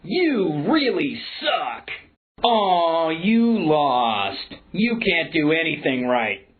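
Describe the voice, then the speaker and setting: Woman acting a tinny, mocking game announcer, wildly animated. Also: sits low, drawn-out words, breathy edge, full character voice. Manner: taunting, sarcastic, theatrical. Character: mocking, sarcastic game announcer who taunts the player. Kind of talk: exaggerated comedic game voice clips. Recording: tinny phone-like lo-fi game audio.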